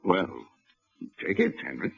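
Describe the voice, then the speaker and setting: An actor playing a genial, pompous bank boss, speaking with formal ceremony in a 1940s radio drama. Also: male voice, deep voice, huge pitch swings, measured pace, vocal fry, breathy edge, exaggerated voice. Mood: expectant, congratulatory. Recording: muffled old radio recording.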